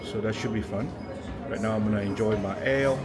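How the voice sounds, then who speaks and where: Amused man chatting over loud music. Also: fairly low pitch, brisk. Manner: amused, teasing. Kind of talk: casual vlog chat.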